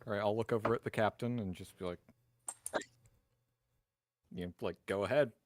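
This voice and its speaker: Low-voiced man playing a tabletop game. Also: steady tone, moderate pace. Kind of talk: casual description of his character's actions.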